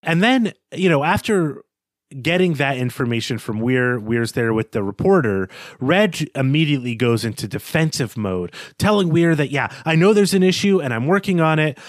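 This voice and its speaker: A man's voice: fairly high pitch, expressive, moderate pace, no echo, clean studio sound. A man co-hosting a podcast, talking through a literary analysis in a thoughtful, explanatory, conversational way. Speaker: podcast co-host.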